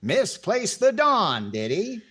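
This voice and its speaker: A man's voice: high-pitched, wildly swooping pitch, takes it slowly, a bit bright, husky, full character voice. An older man with an American accent acting a wry, wordy, playfully pompous host in 1940s radio comedy style.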